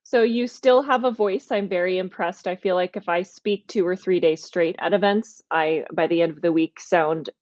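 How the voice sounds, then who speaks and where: A woman hosts a podcast in an energetic, emphatic way. She talks at a middle pitch and thinks aloud with small restarts. Her voice is dry and a little dull, with no room sound. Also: moderate pace, hardly any pauses, dry recording. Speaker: podcast host. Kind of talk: conversational podcast talk.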